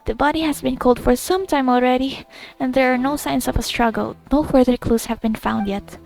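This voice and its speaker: A woman's voice: sits high, steady tone, a little fast, gravelly, darkish tone. A woman streamer giving casual, reactive gameplay commentary, musing aloud, trailing off and sometimes reading game text. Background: game music.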